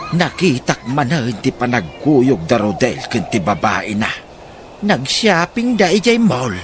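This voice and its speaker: A man's voice: mid-range voice, sing-song, a little slow, music in the background. A man with an Ilocano accent playing a concerned, disapproving older relative in a radio drama.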